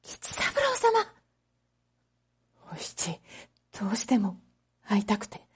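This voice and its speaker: A woman's voice: mid-range voice, huge pitch swings, slow, slightly rough, exaggerated voice. A woman voicing an earnest, impulsive, lovestruck teenage merchant's daughter in a storybook reading, with polite and emotional delivery. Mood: longing. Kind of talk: storybook read-aloud acting.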